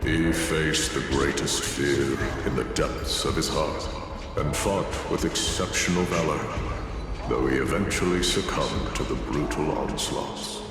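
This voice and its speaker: Deep gravelly war titan, cavernous echo. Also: male voice, heavily acted. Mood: solemn, imperious. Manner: stately, solemn, formal, drawn-out delivery. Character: ancient male war titan, proud, grave, battle-worshipping. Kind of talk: theatrical video game dialogue. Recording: cavernous long reverb under loud music.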